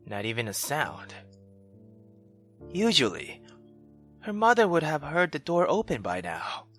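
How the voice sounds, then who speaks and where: A male gaming YouTuber reading a visual novel aloud and reacting in a theatrical, excitable way. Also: mid-range voice. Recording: music behind the voice. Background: background music.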